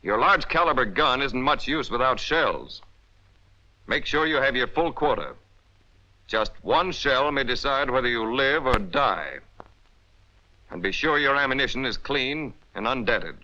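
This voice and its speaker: A man with an American accent delivers scripted narration for a 1940s military training film. His voice is very bright and forceful, with a medium pitch. He instructs emphatically in clipped directives, heard through a dull, band-limited old film soundtrack. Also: animated, a little slow.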